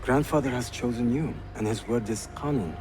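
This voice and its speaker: A man's voice: mid-range voice, moderate pace, close to an everyday voice. Guy doing young ottoman prince from a game, mid voice, composed n formal, music playing. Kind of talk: cinematic game dialogue.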